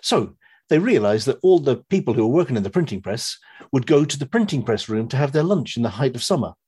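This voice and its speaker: Husky-voiced man telling stories casually. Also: medium pitch. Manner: rambling, animated storyteller, swearing. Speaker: podcast guest. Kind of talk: casual chat, retelling a story.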